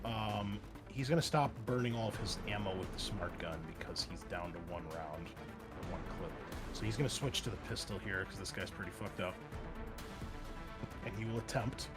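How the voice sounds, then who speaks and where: A man's voice: on the low side, normal speed, loud music behind the voice. A man running a tabletop game, narrating action dramatically in casual, profanity-laced speech. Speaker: game master.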